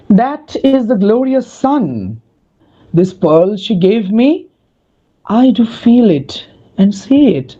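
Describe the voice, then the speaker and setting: A woman playing a bewildered, smitten young gentleman in a dramatic reading of Shakespearean comedy. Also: on the high side, lo-fi sound.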